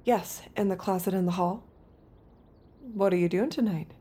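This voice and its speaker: A woman playing a compliant young girlfriend in an audio drama, soft-spoken and guarded, sweet on the surface and doubting underneath. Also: medium pitch, normal speed, slightly rough, close to an everyday voice. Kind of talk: naturalistic audio drama acting.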